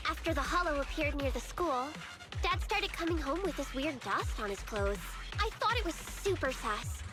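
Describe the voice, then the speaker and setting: Bratty smart kid girl, super high voice, video game style, music in back. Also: expressive, normal speed. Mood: serious, worried. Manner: sassy and dismissive of grown-ups. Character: precocious, blunt schoolgirl, guilt-ridden but determined.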